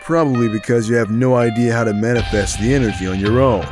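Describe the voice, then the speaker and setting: A man's voice: medium pitch, fast, over music, natural voice. A man acting a cold, arrogant young rival fighter in an audio drama, taunting and sure of himself. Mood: scornful. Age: young adult. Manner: taunting, confident, commanding.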